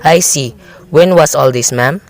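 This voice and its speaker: High-voiced, patronizing police officer acted by a man. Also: measured pace, gravelly. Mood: routine, detached. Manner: patronizing, placating, matter-of-fact. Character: unhurried, dismissive New York police desk officer. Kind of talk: amateur radio play acting.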